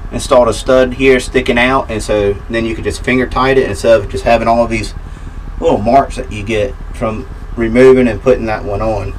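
A man's voice: mid-range voice, slight background noise, poor audio. A man in his thirties casually walking through a boat wiring job step by step, with plenty of fillers, filmed on a close action camera outdoors. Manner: relaxed, explaining step by step with fillers. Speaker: boat DIY vlogger. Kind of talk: casual how-to walkthrough.

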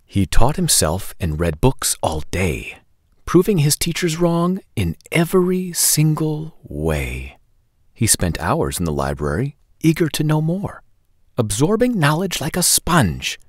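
A man in his forties hosting a children's storytime, reading aloud in an expressive, deliberate, sing-song way. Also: on the high side, no echo, clean studio sound. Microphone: lapel microphone.

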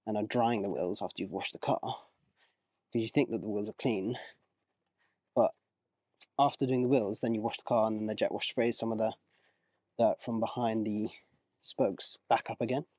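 A man in a casual vlog, talking through his car wheel cleaning outdoors in a relaxed, rambling way, with small self-corrections, his voice moving closer and farther from the camera. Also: lowish voice, moderate pace, pauses often, dry recording. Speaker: car rental host. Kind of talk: casual vlog narration while working.

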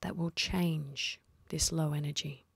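A woman leading a guided meditation in a soft, husky, breathy voice, speaking slowly and soothingly with long pauses. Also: sits low, steady tone, dry recording.

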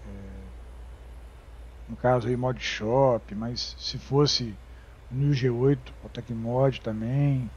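Slow, halting Brazilian man, muffled mic. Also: mid-range voice, fairly even intonation, a little mellow, breathy edge. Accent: Brazilian Portuguese. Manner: halting, many fillers and restarts. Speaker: gaming YouTuber. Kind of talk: casual game commentary.

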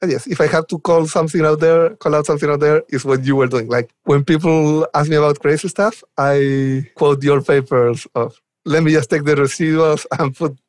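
A man's voice: fairly high pitch, steady tone, normal speed, darkish tone, no room sound. A man from Chile with a Chilean Spanish accent, chatting casually and enthusiastically as a podcast guest. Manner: enthusiastic, chuckles while talking. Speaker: guest, software developer advocate. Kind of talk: casual podcast interview chat.

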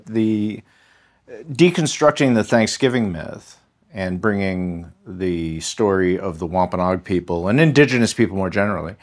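A man with an American accent, a history professor speaking as an interview guest in a measured, articulate way. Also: on the low side. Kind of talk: thoughtful interview talk.

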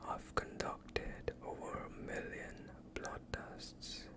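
Man whispering as a slow, soothing vampire doctor. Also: natural voice. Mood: calm, reassuring. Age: young adult. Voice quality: breathy, unvoiced whisper. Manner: slow, hushed, reassuring, close to the listener. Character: soothing, sinister male vampire doctor hungry for blood. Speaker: ASMR performer. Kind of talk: whispered ASMR roleplay.

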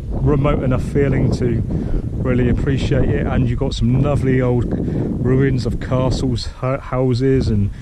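Rambling man outdoors in loud wind. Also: medium pitch, flat delivery, measured pace. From Britain. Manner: hesitant, many ums and restarts. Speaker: hiker filming his own trail vlog. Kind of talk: casual rambling chat to camera. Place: open grassy headland by the sea, outdoors.

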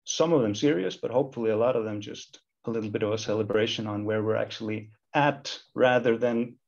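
A man's voice: fairly low pitch, fairly quick, vocal fry. A man in his thirties from Iceland, a university lecturer talking thoughtfully in a video-call panel, with many restarts. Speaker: university lecturer and equality committee chair. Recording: slightly dull video-call audio.

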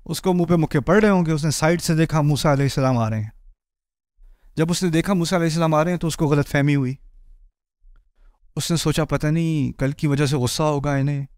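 A man in his forties preaching with animated energy, his voice sitting high for a man. He walks the stage and acts out the people in his story, and the headset mic keeps the sound close and dry. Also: dry recording. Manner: animated, gestures widely while pacing a stage. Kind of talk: sermon. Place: indoor stage before a patterned backdrop.

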